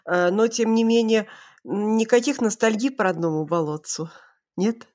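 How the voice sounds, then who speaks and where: Woman podcast co-host, mid pitch, curious and enthusiastic, closes the show. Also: normal speed, darkish tone. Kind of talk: conversational interviewing.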